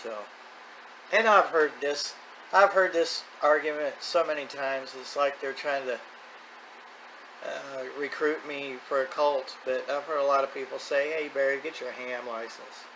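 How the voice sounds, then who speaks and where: Middle-aged male, average pitch, plain-spoken, unscripted hobby vlogger, noisy ambient recording. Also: steady tone, measured pace. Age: middle-aged or older. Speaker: hobby radio video host. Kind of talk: casual unscripted vlog monologue. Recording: clear background noise, ambient sound.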